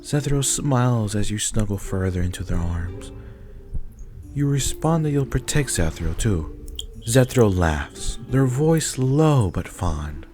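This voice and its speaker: A man's voice: lowish voice, sing-song, takes it slowly, mellow, faint music behind. A man reading scripted second-person story narration for an audio roleplay.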